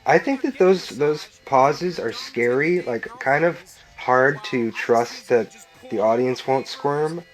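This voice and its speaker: A man's voice: mid-range voice, animated, takes it slowly, rough recording. A man, a filmmaker, chatting enthusiastically through a commentary track and filling his talk with "like" and laughs. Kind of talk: director's commentary chat.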